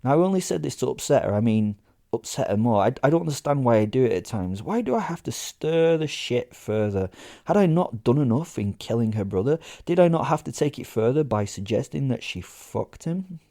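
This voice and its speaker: A man's voice: medium pitch, very animated, brisk, warm tone. A man narrating a first-person horror comedy in character, conversational and sweary, with a sardonic, theatrically indignant manner.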